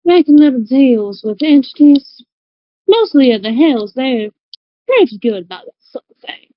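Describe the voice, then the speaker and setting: Tabletop RPG role: shrewd, folksy woman fixer acted by a woman, higher register, slightly dull dry recording. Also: big pitch swings, normal speed, dry recording. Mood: coaxing, knowing. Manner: drawling, folksy, calls people baby. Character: worldly, shrewd woman dealmaker in disguise, protective of children.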